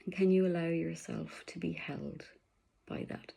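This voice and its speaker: A woman leading a guided meditation in a soft, soothing voice, slipping at times into breathy whispers. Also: fairly low pitch, fairly even intonation, unhurried.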